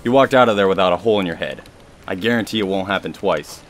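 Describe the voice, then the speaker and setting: A man's voice: low-pitched, quick pace, lightly acted. A man playing a worried, stern federal agent handler in a radio drama, firm and exasperated. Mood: alarmed, firm.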